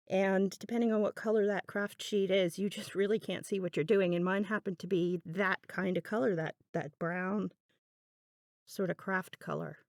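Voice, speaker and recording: A woman's voice: mid-range voice, dry recording. Woman in a dry, chatty craft voiceover. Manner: chatty, self-deprecating, musing aloud. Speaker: craft video host. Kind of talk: casual, unscripted craft voiceover. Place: indoors, voice-over recorded apart from the video.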